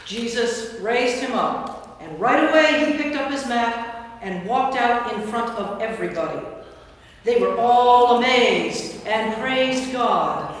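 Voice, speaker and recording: A woman giving a measured, storytelling narration in a staged scripture reading. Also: on the high side, lively intonation. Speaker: narrator, Episcopal priest. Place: large church interior. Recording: very echoey church, distant mic.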